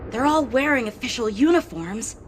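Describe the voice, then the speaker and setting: An actress playing an alert, serious young woman investigating impostors in a video game, urgent and quick to worry. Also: on the high side, lively intonation, a little hiss or hum, lightly acted. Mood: earnest. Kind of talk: game voice acting.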